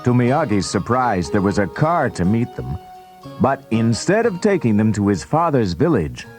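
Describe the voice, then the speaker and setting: A man's voice: mid-range voice, very animated, moderate pace, a little mellow, soft background music. A man reading scripted storybook narration as the narrator of a read-along recording.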